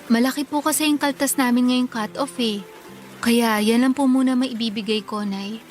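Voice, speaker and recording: A woman's voice: on the high side, steady tone, moderate pace, soft background music, natural voice. A woman playing a dutiful but exasperated young working daughter in a radio drama, polite and firm. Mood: patient, polite.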